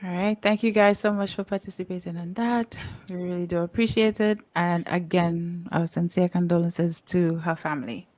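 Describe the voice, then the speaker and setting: A woman's voice: on the low side, level tone, normal speed, few pauses, darkish tone. A woman with a Caribbean accent hosting a casual livestream talk show, chatty, with frequent "um"s and laughs. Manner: chatty, laughs often, uses fillers like "um" and "you know". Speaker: podcast host.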